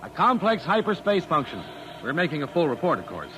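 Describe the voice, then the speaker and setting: An actor playing a plainspoken, eager scientist sidekick in an old-time radio drama, brisk and matter-of-fact. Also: male voice, on the high side, wildly swooping pitch. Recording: noisy vintage radio drama recording.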